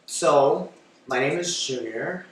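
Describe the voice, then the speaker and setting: A man's voice: fairly high pitch, animated, slow pace. A man in his twenties from Washington DC, co-hosting a casual podcast with playful, campy banter. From Washington DC, United States. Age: 20s. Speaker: podcast co-host. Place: echoey room. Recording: very echoey room, far mic, faint noise.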